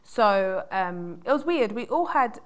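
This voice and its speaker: A woman in her twenties raised in the UK, chatting as a studio guest on a talk show and telling stories in a lively, laughing way. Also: medium pitch, slow pace. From United Kingdom. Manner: bubbly, laughing storyteller. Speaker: guest, dance wellness advisor. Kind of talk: casual interview chat.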